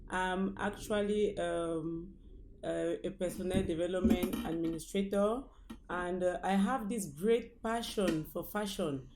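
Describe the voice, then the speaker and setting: A woman's voice: lowish voice, steady tone, unhurried, a little mellow, soft background music. A woman in her thirties with a Cameroonian accent, answering as an interview guest in short, emphatic, listed phrases. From northwest Cameroon. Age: 30s. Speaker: guest, fashion designer and business founder. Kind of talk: interview answers about own experience. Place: indoor studio.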